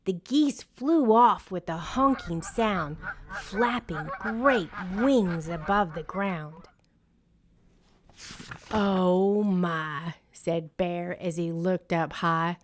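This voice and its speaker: A woman reads a children's picture book aloud. Her voice sits a little low and she goes very slowly, deliberate and expressive as a storyteller. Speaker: storyteller and channel host.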